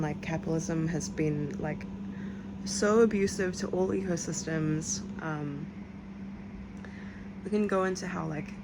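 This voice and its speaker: A woman's voice: low-pitched, background noise. A young woman from New Zealand with a New Zealand accent, vlogging late at night from bed in a rambling, confessional way full of "like".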